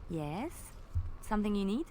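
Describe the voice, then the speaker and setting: A woman voicing a graceful female bard in a video game, telling tales in a measured, lilting way. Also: mid-range voice, very animated, mellow, a little hiss or hum, lightly acted. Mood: storytelling. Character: graceful female bard, gentle devout storyteller. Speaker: game character. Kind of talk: voiced game dialogue.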